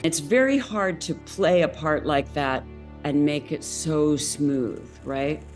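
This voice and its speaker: Low female voice, unhurried and deliberate, reflective interview delivery. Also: darkish tone, soft background music.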